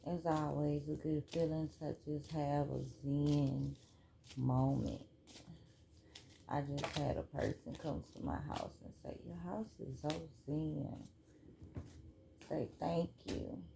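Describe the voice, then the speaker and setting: Slow, gravelly-voiced woman reading cards. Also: low-pitched, noisy background. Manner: relaxed, chatty, reads cards aloud. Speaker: spiritual card reader. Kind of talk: casual card reading vlog. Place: home room.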